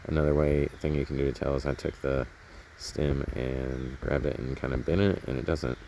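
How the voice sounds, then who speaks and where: A man's voice: a bass voice, flat delivery, moderate pace, mellow, slight background noise. A man casually narrating hands-on garden work outdoors, rambling and thinking aloud as he goes, recorded on a handheld camera. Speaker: gardening vlogger.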